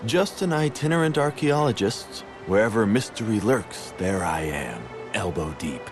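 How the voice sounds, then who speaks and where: An actor voicing a sly, playful mastermind in a video game, condescending and teasing, with theatrical drawn-out delivery. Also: male voice, fairly low pitch, expressive, close to an everyday voice. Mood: teasing, smug. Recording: music under the voice.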